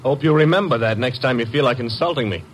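An actor playing a detective's breezy, wisecracking young assistant in a 1940s radio drama. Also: male voice, mid-range voice, fast, lightly acted. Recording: noisy old radio transcription.

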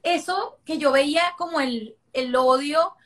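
A Venezuelan woman in her forties with a bright, high voice. She talks emphatically as an interview guest, stressing points by repeating them. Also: measured pace. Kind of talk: conversational interview.

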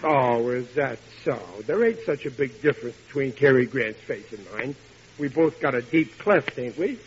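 A man's voice: medium pitch, moderate pace, close to an everyday voice. An actor playing a blustering, dim-witted working-class family father in a 1940s radio sitcom, indignant and folksy with ungrammatical speech. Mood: hurt pride. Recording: hissy vintage radio broadcast, dull.

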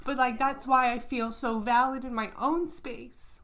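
Woman, earnest co-host, somewhat high voice, casual talk. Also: steady tone, measured pace, a bit bright. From United States. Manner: earnest, uses "like" often. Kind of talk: casual conversation.

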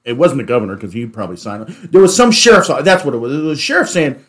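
A man hosting a casual panel discussion, speaking in halting, stop-start phrases with repeated words. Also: mid-range voice, very animated. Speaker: talk show host.

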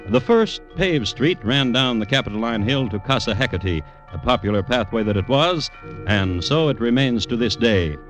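A male narrator with a mid-range voice delivers a scripted history report in old radio style. He is measured and dryly instructive, with faint music present. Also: medium pitch. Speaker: narrator and host.

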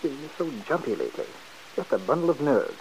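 An actor playing an impatient, cold-blooded schemer in an old-time radio soap, brusque, exasperated and condescending. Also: male voice, a little high, big pitch swings, a little fast, a little mellow, poor audio. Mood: breezy impatience. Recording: hissy vintage radio broadcast recording.

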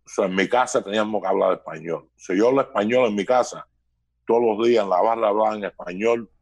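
A man's voice: on the low side, fast, gravelly, no room sound. A middle-aged man with a Cuban accent shaped by New Jersey, a guest comedian in a loose, joking interview over a video call, mixing Spanish with English. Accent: Cuban, raised in New Jersey. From Cuba. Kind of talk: casual interview chat.

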